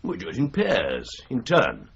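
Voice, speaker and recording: A husky, breezy office man. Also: on the low side, wildly swooping pitch, a little slow, fairly bright tone, full character voice. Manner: breezy, casual. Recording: slightly dull old radio recording.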